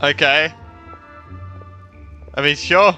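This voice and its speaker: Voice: mid-range voice, extremely slow, sharp tone. A streamer giving casual game commentary, muttering reactions and repeating phrases. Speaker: gaming streamer. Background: game music.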